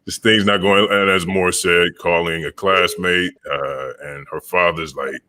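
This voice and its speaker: Very deep-voiced man, bright tone. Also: unhurried, no echo, clean studio sound. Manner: relaxed storytelling, chuckles, many fillers. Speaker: opera singer, bass. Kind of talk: casual panel chat. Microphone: video call.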